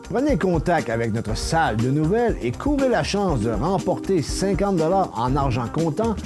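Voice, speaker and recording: Upbeat promo announcer over music. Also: male voice, big pitch swings, normal speed. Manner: upbeat sales-pitch delivery. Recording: music bed behind voice.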